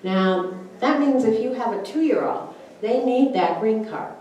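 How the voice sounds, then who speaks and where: Unhurried older woman in a roomy hall. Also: a little high, expressive, a little mellow, highs cut off. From Germany. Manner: conversational, with frequent "you know" and "uh". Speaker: guest speaker at church forum. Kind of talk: personal storytelling talk. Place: church hall. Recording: roomy hall, distant mic, background noise.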